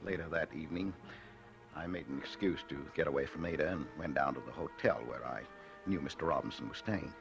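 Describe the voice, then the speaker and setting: An actor playing a meek, shy, middle-aged husband secretly plotting murder in an old radio drama, with a hesitant, apologetic manner. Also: male voice, very deep voice, fairly quick, creaky. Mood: sly, confiding. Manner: mild, hesitant, stammering, apologetic. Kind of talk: 1940s radio drama acting and narration. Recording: vintage radio recording with faint music.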